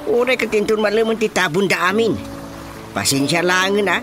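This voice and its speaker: A man's voice: sits high, expressive, moderate pace. A man with an Ilocano accent playing a flustered, stammering old husband in a radio drama comedy, with music behind. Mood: fretful. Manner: stammering, confused exclamations. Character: old husband, fretful and easily startled.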